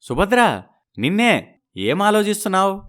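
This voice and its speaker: An actor playing a smooth-talking, evasive young husband in a story, placating and persuasive, dropping in English words. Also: male voice, high-pitched, big pitch swings, measured pace, a bit bright, full character voice. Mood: persuasive, explaining.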